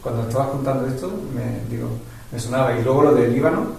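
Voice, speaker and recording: A man's voice: medium pitch, fairly even intonation, fast, creaky, darkish tone, a little hiss or hum. A man interviewing a guest, chatting casually and affirming with enthusiasm. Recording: distant mic with heavy echo.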